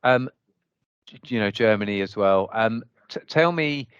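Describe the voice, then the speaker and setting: Guy hosting an interview, kinda low voice, stumbles a lot, dull dry lo-fi sound. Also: animated, unhurried, smooth, no room sound. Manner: hesitant, repeats words while forming questions. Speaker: podcast host, interviewer. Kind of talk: interview questions.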